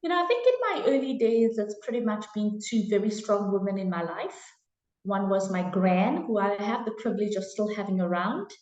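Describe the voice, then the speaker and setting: A woman's voice: medium pitch, animated, brisk, darkish tone, reverberant, highs cut off. A South African woman in her forties, answering interview questions about her career in an earnest, reflective way with frequent fillers and restarts. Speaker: interview guest, HR executive.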